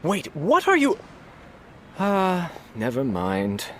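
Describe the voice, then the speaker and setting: An officious, easily flustered man in a video game. His voice is a little high and swings widely, impatient, with faint noise behind it. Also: huge pitch swings, slow, heavily acted. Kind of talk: scripted video game dialogue.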